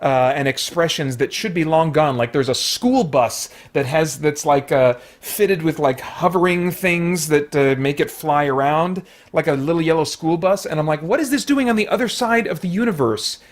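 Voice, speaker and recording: A man hosting a casual spoken movie review, rambling along with jokey, animated asides. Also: fairly high pitch, normal speed, hardly any pauses. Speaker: film reviewer and host.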